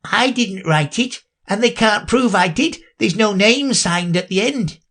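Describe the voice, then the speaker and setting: A man's voice: high-pitched, animated, unhurried, a bit bright, pitched above the performer's own voice, heavily acted. A man voicing a shifty young knave on trial, pleading and defensive.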